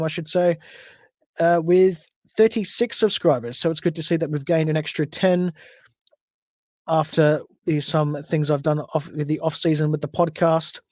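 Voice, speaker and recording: A man's voice: on the high side, dry recording. An Australian man hosting a solo podcast livestream, chatting casually and rambling with frequent self-corrections. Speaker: podcast host.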